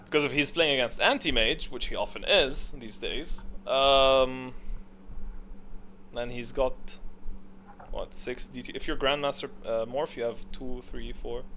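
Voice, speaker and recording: A man's voice: medium pitch, unhurried, bright, forceful tone, a little hiss or hum. A man hosting a video in casual, unscripted gaming commentary, speaking in a blunt, opinionated way. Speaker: video host ranking game voice lines.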